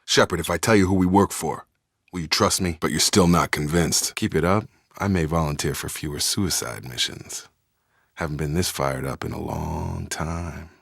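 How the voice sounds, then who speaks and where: A man's voice: a bass voice, normal speed, gravelly, a bit bright, husky, no echo, clean studio sound, exaggerated voice. A man playing a loyal, guarded young soldier in a sci-fi video game, speaking in an earnest, controlled, matter-of-fact way. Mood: measured, serious.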